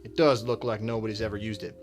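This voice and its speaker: A man's voice: lowish voice, fairly even intonation, quick pace, over music, natural voice. A man playing a weary, brusque, determined detective with dry delivery in a radio drama. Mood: probing. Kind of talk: scripted radio drama acting.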